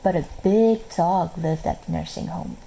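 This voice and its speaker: A woman reading a children's story aloud in an expressive, measured way. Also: on the low side, vocal fry, a little hiss or hum, poor audio. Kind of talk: scripted children's story narration.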